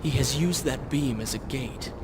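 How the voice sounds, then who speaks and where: An actor playing an embittered, defiant young prince in a video game, terse and intense. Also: male voice, medium pitch, moderate pace, very noisy, lightly acted. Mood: defiant resolve. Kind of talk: dramatic video game voice acting. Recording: loud music behind the voice.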